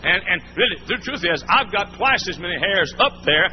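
A man's voice: sits high, animated, normal speed. A man preaching a sermon in a church, folksy and emphatic, repeating his points. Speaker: pastor. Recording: muffled old sermon tape, faint hiss.

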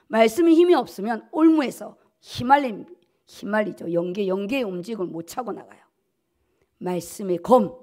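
Woman pastor, middle-aged, fairly high voice, emphatic sermon delivery with repeated key words. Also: a little mellow. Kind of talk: sermon, preaching at a dawn service. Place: at a pulpit in a church auditorium. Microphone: podium gooseneck microphones.

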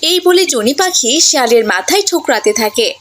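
Casting: female storyteller for children's tales, high-pitched voice, scripted narration with soft music underneath. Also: lively intonation, fairly quick.

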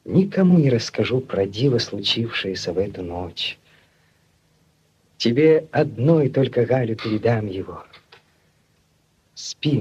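An actor playing an eager, lovestruck young village lad in a 1940s radio play. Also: male voice, mid-range voice, darkish tone, a little hiss or hum. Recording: dull vintage 1940s radio recording.

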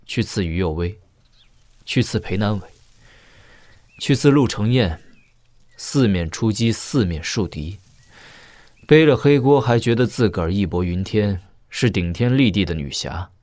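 An actor playing a young princely heir in an audio drama, wry and coolly questioning, speaking in a measured, conversational way. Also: male voice, on the low side, lively intonation, darkish tone, dry recording. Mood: fond, rueful.

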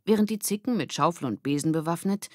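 A woman's voice: on the low side, expressive, fast talker, darkish tone, no echo, clean studio sound. A woman narrating a scripted children's story as an audiobook reader.

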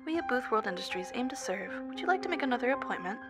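A woman's voice: on the high side, very animated, quick pace, a bit bright, soft background music. A woman playing a polite, unflappably cheerful telephone operator for a sinister company in a scripted horror audio story. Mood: calm, cheerful. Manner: scripted customer-service courtesy, unflappable.

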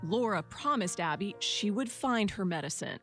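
Female American reporter, mid-pitched, scripted human-interest narration over faint music. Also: animated, moderate pace, fairly bright tone. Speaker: news reporter. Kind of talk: scripted news feature narration. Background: music under narration.

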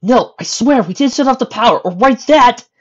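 Lady doing a mischievous teen boy for a story, high voice, protesting, dull audio. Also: animated, normal speed, breathy edge. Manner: protesting, insistent. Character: teenage boy, defensive, mischievous twin brother.

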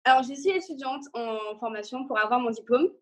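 A woman in her twenties speaks from a room on a video call. Her voice is on the high side with some huskiness, and she answers questions in a casual way. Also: brisk, a bit bright, husky. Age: 20s. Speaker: guest, dance student.

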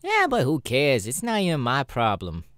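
Up close and dry, a man plays a sassy, cynical demon showman in an ASMR roleplay. His pitch swings widely as he drawls through casual, irreverent chat with hesitant pauses. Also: wildly swooping pitch, fairly bright tone, no echo. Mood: defiant, smug. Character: sassy, cynical male demon adult performer.

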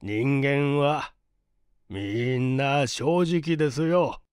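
A performer voicing a genial, naive, well-off older gentleman with a leisurely, pompous, old-fashioned way of talking in a storybook reading. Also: mid-range voice, extremely slow, clean tone, dry recording, clean studio sound. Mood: pleased, self-satisfied. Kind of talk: dramatized literary reading.

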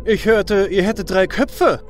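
A man's voice: sits high, fairly even intonation, a little slow, soft background music, voice pushed up. A man voicing an arrogant, brave mythical hero in a fantasy audio drama, with pompous, formal, self-assured delivery. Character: arrogant, brave young male Greek-myth hero.

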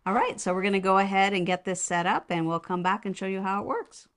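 A woman's voice: mid-range voice, fast talker. A woman co-hosting a casual unboxing and product demonstration, recorded inside a home. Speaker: product review co-host. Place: indoors at a home.

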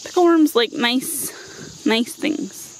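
A woman's voice: on the high side, expressive, takes it slowly, low-quality recording. A woman in her thirties chatting casually and playfully as she gives a garden tour for a vlog. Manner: informal, playful asides. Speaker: vlogger giving a home garden tour. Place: outdoors in a backyard vegetable garden. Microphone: handheld camera microphone. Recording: outdoor handheld camera, noticeable ambient noise.

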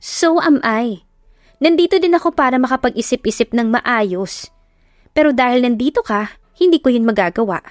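A woman voicing a feisty, hot-tempered young woman who scolds and shouts in a sharp, quick voice. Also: a little high, lively intonation, no room sound, clean studio sound, pitched above the performer's own voice, natural voice. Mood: irritated.

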